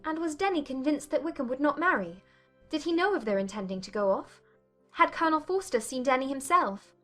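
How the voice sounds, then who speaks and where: A woman playing an earnest, probing young Regency gentlewoman with an urgent manner in a dramatized audiobook. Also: sits high, animated, a little fast, faint music behind. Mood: anxious, probing.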